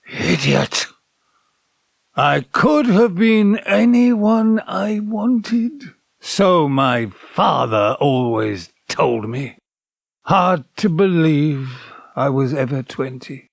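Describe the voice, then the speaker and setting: A man's voice: high-pitched, vocal fry, no room sound, studio quality, heavily acted. An actor playing a bitter, wistful old man haunted by past loves in an audio drama, theatrical and brooding. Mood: bitter regret. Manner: theatrical, brooding, self-reproaching.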